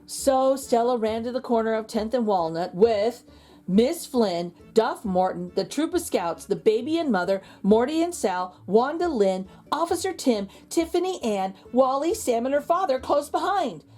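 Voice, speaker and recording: A woman reading a children's storybook aloud in an expressive, emphatic way. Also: fairly high pitch, normal speed, faint music behind. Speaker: children's book reader. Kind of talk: storybook read-aloud narration.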